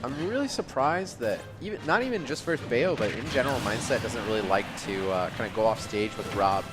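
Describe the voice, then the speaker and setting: A man's voice: mid-range voice, expressive, fairly quick, over music. A man giving casual, analytical live commentary on a video game match, conversational and reacting to the plays.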